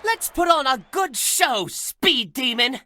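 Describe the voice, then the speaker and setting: A woman's voice: sits high, very animated, slow, bright, forceful tone, slight background noise, full character voice. A woman voicing a cocky, good-humored young demon fighter in a video game, confident and playful. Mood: cocky, challenging.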